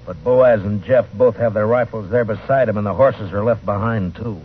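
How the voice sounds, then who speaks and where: A man acting a steady, terse, authoritative frontier marshal in a 1950s-style radio western. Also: lowish voice, moderate pace, slightly rough. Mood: grave, alert. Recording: noisy, tinny old radio recording.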